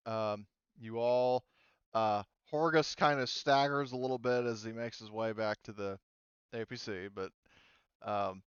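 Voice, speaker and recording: A man with a low, smooth voice runs a tabletop game as game master. He talks casually and rambles, often pausing and restarting as he thinks. The sound is close and dry, with a slightly dull voice-chat quality. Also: no echo. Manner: hesitant, rambling delivery full of trailing thoughts. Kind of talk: casual talk running a tabletop roleplaying game online.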